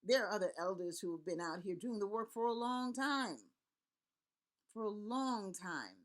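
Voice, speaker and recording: A woman's voice: mid-range voice, expressive, normal speed. A middle-aged woman from Haiti, a Vodou priest and elder, giving an earnest, rallying spoken address with repeated words and long lists. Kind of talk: impassioned spoken address to camera.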